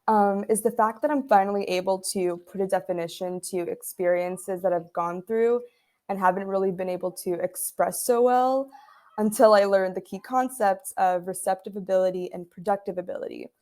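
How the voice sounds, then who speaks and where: A woman student in a recorded class video, her voice at a medium pitch. She explains earnestly and steadily, with a few fillers. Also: darkish tone.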